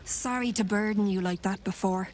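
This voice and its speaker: An actress playing a weary, sharp-tongued mother of a grown son, complaining in a world-weary way. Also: mid-range voice, moderate pace, slight background noise, lightly acted. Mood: weary grumbling.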